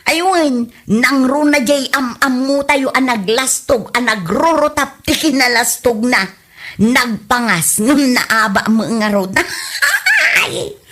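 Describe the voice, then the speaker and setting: A woman's voice: high-pitched, very animated, slow pace, pushed and bright, exaggerated voice. A woman acting a teasing, opinionated gossiping friend in a comedy radio drama. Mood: teasing, amused.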